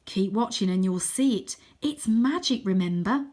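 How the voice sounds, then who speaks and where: A woman voicing an excitable, boastful boy who is eager about magic in a storybook reading. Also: medium pitch, normal speed, close to an everyday voice. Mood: proud, playful. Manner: eager, exclaiming.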